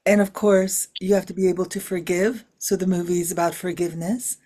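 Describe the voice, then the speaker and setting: A woman's voice: fairly low pitch, steady tone, brisk, mellow. A middle-aged woman, a filmmaker being interviewed, talking expressively about family with many fillers and restarts. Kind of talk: casual interview talk.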